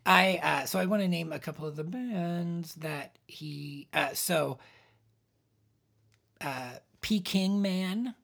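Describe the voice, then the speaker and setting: A middle-aged man co-hosting a podcast in casual chat, with a voice he calls a bit high, rambling with many restarts. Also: slow, vocal fry, a bit bright. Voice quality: says his voice is on the high side. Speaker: podcast co-host.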